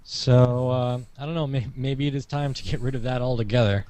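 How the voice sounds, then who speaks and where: A man's voice: medium pitch, a little fast, mellow, clear, resonant tone. A man chatting casually as a panelist, with a wry, self-deprecating sense of humour. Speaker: panelist, video creator. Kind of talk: casual chat.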